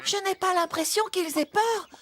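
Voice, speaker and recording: A woman's voice: very high voice, normal speed, a bit bright. A woman voicing a playful, pushy little kid vehicle, mischievous and eager, in a children's cartoon dub. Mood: eager, teasing.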